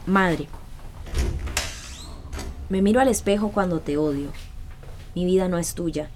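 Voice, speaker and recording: Casting: actress as guarded young Costa Rican poet, radio drama, slightly low voice, intense, self-deprecating, faint noise behind. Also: female voice, unhurried, darkish tone, natural voice. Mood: solemn, intimate. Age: young adult.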